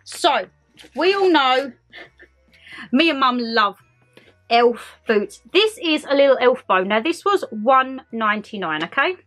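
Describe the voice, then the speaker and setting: A young woman, chatting casually to viewers in a haul vlog, a bit congested and hoarse from a cold. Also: sits high, animated, unhurried, fairly bright tone, soft background music. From United Kingdom. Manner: bubbly, chatty, talks straight to viewers. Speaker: haul vlogger. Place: bedroom decorated for Christmas, indoors.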